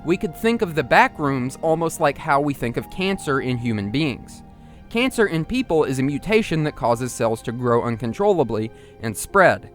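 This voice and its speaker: A man's voice: medium pitch, big pitch swings, moderate pace. A man narrating an analytical video essay in a musing, explanatory and partly conversational manner. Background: music under the voice.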